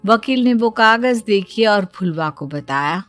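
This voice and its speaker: A female storyteller reads a scripted tale. Her mid-pitched voice moves at a slow, measured pace, with light music playing underneath. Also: expressive, fairly bright tone.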